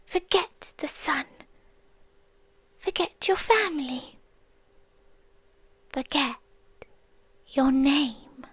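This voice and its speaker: Casting: woman as possessive yandere water demon, British, ASMR roleplay. Extremely slow, soft whispery coaxing, tender yet threatening, very close and dry. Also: high-pitched, no echo. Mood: softly menacing. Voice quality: soft, breathy, often whispered. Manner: slow, coaxing, sweetly menacing with long pauses. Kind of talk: ASMR roleplay, soft intimate delivery often dropping to a whisper.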